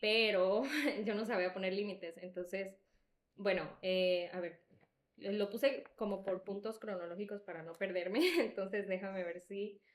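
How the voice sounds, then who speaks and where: A young woman with a Salvadoran accent softened by Mexican, telling personal stories in a candid, emphatic, conversational way as a podcast host. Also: mid-range voice, a little slow. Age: young adult. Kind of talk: casual personal storytelling. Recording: echoey room.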